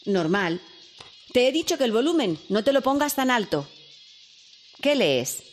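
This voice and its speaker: An actress playing a caring, worried mother who speaks gently and soothingly to her son. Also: fairly high pitch, very animated, a little slow, faint music behind. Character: caring worried mother, middle-aged woman. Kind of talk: radio drama acting.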